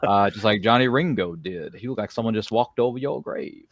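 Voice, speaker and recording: Fast-talking, low-voiced male host. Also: dry recording, lo-fi sound. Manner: chatty, jokey, digressive, slangy. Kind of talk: casual roundtable hosting, reading viewer comments.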